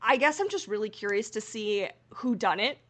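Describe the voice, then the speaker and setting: Mid-pitched woman, enthusiastic podcast co-host. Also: normal speed, a bit bright. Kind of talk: casual fan discussion.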